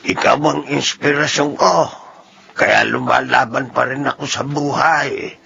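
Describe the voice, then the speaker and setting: An actor playing a doting, hardworking old grandfather in a Filipino radio drama, speaking slowly and tenderly with an aged, gravelly voice. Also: medium pitch, pushed and bright, exaggerated voice. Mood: tender, worried. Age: older. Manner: slow, tender, fussing. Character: doting, hardworking old grandfather who sells homemade candy. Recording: dull, slightly noisy radio recording.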